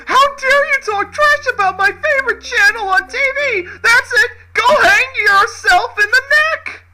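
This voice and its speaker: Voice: extremely high pitch, measured pace, pushed and bright. A person giving a dramatic, mocking reading of online comments with exaggerated delivery.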